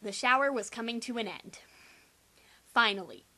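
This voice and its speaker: A woman's voice: fairly high pitch, animated, normal speed, bright, forceful tone. A young adult woman giving a sarcastic, theatrical reading of a first-person teen story, with exaggerated emphasis. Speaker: amateur reader.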